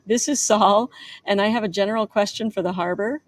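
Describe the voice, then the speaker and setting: A woman's voice: medium pitch, fairly even intonation, fairly quick, lightly acted. A woman reader voicing a whiny male sailor from a memoir, earnest and insistent. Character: whiny male New York sailor asking questions in earnest.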